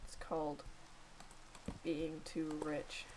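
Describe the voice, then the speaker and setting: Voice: medium pitch, completely flat delivery, very noisy. A gamer chatting casually and rambling while playing, talking to themselves and to others on a call. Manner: rambling, chatty self-talk with quick asides to a call. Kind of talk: casual gameplay chat.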